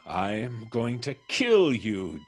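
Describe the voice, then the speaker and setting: An actor playing a vengeful, gloating ex-convict in an old-time radio drama, with theatrical menace and florid, drawn-out diction. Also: male voice, on the low side, exaggerated voice.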